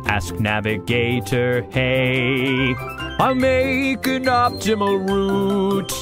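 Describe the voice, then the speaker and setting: A woman voicing a helpful, upbeat talking navigator gadget in a children's cartoon, giving measured instructions. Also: medium pitch, fairly even intonation, music in the background. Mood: upbeat, boastful.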